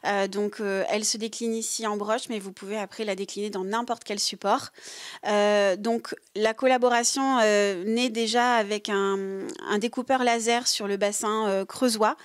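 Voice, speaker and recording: A woman's voice: medium pitch, fairly even intonation, moderate pace, dry recording, studio quality. A woman from France who runs a small craft business, explaining her work in her workshop with many hesitations and fillers. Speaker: craft maker. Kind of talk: workshop demonstration talk.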